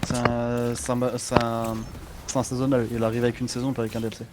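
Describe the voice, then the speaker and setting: A man's voice: mid-range voice, completely flat delivery, fast talker, very noisy. A young man chatting casually with friends over a gaming voice call, explaining how to get gear and restarting his sentences often. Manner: explains game mechanics, many restarts. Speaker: gamer in voice chat.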